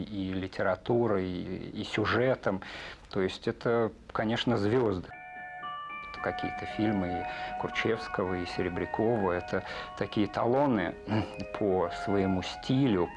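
A man in his forties giving a reflective documentary interview in a halting, hesitant manner. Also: deep voice. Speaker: animation film artist and director interviewed. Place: indoor room with film set behind, seated. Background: music.

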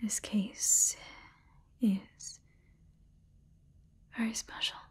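A woman in her twenties playing a calm scientist, dictating haltingly in a breathy whisper in an ASMR roleplay. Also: medium pitch, exaggerated voice. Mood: clinical, hushed. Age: 20s. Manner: slow, halting, clinical dictation. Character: calm, clinical female scientist examining an alien-infected patient.

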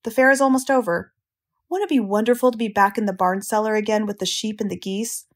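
A woman's voice: medium pitch, fast talker, lightly acted. A woman voicing an emotional young male pig from a storybook, earnest, urgent and pleading. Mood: excited. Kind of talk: storybook character reading.

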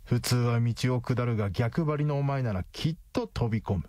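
An actor playing a cocky, calculating detective in a radio drama, explaining things in a smug, theatrical way. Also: male voice, medium pitch, normal speed, darkish tone, dry recording, lightly acted. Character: cocky, calculating male detective, coolly triumphant.

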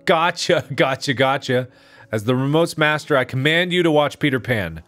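A man's voice: mid-range voice, lively intonation, normal speed, a bit bright, soft background music, pitched above the performer's own voice, lightly acted. A man voicing a stubborn, gleeful little sister in a horror game, insistent and taunting. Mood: gloating.

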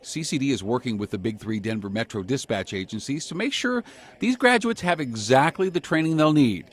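A man's voice: mid-range voice, lively intonation, a little fast. A man in his fifties with an American accent, reporting as a TV journalist in scripted news narration. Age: 50s.

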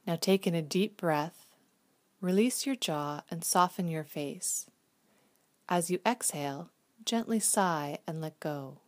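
A woman with a slightly low voice guides a calming visualization. She speaks gently and soothingly, in a hushed, unhurried way suited to meditation.

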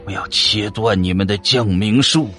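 A man's voice: lowish voice, moderate pace, bright, forceful tone, soft background music. An actor playing a menacing old male zealot of a death cult in a fantasy audiobook, sinister and scornful, drawing out his words. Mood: scornful menace. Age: older. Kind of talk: dramatised fantasy audiobook acting.

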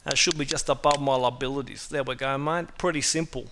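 A man with an Australian accent walks through a software task in a casual, chatty way, saying "mate" constantly and filling pauses with "uh". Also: medium pitch, steady tone, noisy background. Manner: chatty, says "mate" constantly, many "uh" fillers. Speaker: software tutorial presenter. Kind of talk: casual screen-recording walkthrough.

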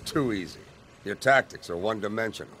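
A man's voice: lowish voice, a little fast, noisy background, lightly acted. An actor playing an arrogant male mercenary in a video game, speaking in a smug, taunting way. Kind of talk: video game cutscene acting. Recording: loud game music behind.

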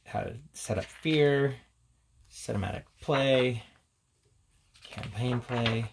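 A man's voice: medium pitch, slow, vocal fry, a little hiss or hum, low-quality recording. A man giving an informal, offhand show-and-tell commentary as a reviewer, casually talking through what is in front of him. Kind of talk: casual hands-on book showcase commentary.

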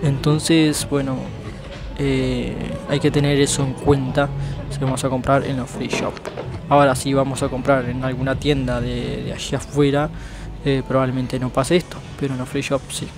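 A man's voice: mid-range voice, little pitch movement, moderate pace, music in the background. A man in his thirties with a Uruguayan accent, talking casually to viewers while he walks around town and through shops. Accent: Uruguayan Spanish. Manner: chatty, addresses viewers as "gente". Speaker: travel vlogger. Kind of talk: casual walking vlog. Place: city streets and inside shops. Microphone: action camera held close.

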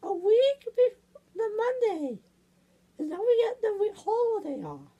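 A very high, squeaky teenage cartoon girl, bossy and easily worked up. The pitch swoops around as she scolds and fusses in an improvised play vlog. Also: very animated, unhurried, lo-fi sound, heavily acted. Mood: chatty, fussy.

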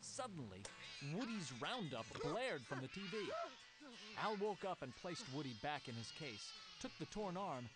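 A man's voice: a little high, very animated, normal speed, over loud music. A man reading a scripted children's storybook as narrator.

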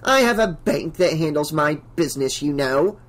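A narrator voicing a haughty, spiteful older rich woman in an audiobook, with shrill, imperious, scornful delivery. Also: lively intonation, moderate pace, close to an everyday voice. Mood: haughty, curt.

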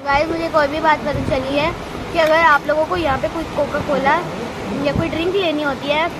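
A woman's voice: sits high, steady tone, brisk. A young girl chatting casually to her phone camera at a restaurant terrace, calling her viewers guys. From Pakistan. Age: child. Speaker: child vlogger. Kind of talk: casual vlog chat to camera. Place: large open-air restaurant terrace. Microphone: phone camera held close. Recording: loud background noise, restaurant terrace.

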